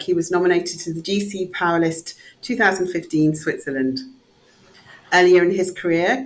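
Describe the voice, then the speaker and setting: A woman's voice: fairly low pitch, poor audio. A woman hosting a podcast, reading a polished introduction and asking interview questions. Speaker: podcast host.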